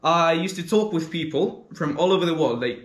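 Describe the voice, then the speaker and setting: A Greek-accented teenage boy who speaks in a slightly high voice. He answers earnestly, often pausing on "uh" and "like" as he looks for words. The sound has a noticeable roomy echo. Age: teens, about 15. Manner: earnest, searching for words, frequent "like" and "uh". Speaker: interviewee, gamer. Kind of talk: casual interview answers.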